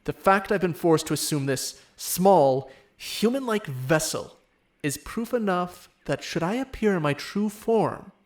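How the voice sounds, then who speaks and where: A man's voice: on the high side, dry recording. A man voicing a smug, seductive ancient dragon in humanlike form for an ASMR roleplay, teasing and haughty in formal words, with murmurs that slip into whispers. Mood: proud, imperious. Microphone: close microphone, dry sound.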